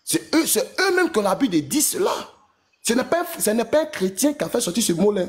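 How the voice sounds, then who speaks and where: A man's voice: high-pitched, sing-song, brisk. A man speaking in an online religious debate, repeating himself and hesitating as he makes his point. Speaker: debate panelist.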